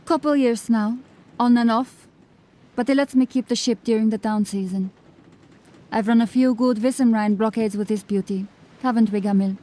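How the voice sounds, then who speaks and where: A woman playing a cocky, smooth-talking female ship captain who is breezy and evasive with the law. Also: on the high side, moderate pace, lightly acted, low-quality recording. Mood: sly. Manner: breezy, evasive, gently mocking.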